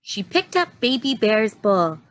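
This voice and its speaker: A woman with a fairly high voice reads a children's story aloud. Her delivery is expressive and deliberate, with lively rises and falls at a slow, measured pace.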